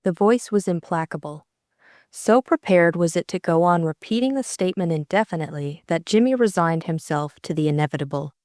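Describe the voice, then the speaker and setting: A woman's voice: lowish voice, expressive, a little fast, darkish tone, no room sound, clean studio sound. A woman reading scripted story narration as an audiobook narrator.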